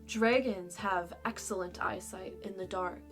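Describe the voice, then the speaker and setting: A woman's voice: medium pitch, steady tone, measured pace, music in the background, natural voice. A woman playing a blunt, sardonic young female dragon in a fan fiction audio drama, brusque and casual in manner. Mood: dry, dismissive.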